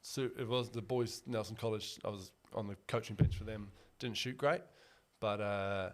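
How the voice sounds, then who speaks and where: A man's voice: fairly low pitch, fairly even intonation, normal speed. A young man, co-hosting a casual sports podcast in an upbeat, chatty way and laughing easily. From New Zealand. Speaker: podcast co-host.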